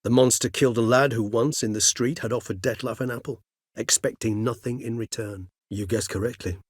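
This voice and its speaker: A man voicing a centuries-old, scholarly vampire gentleman in a fantasy game, speaking in eloquent, formal, measured phrases. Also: medium pitch, steady tone, husky, dry recording, studio quality, lightly acted. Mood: earnest, measured. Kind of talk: fantasy video game character dialogue.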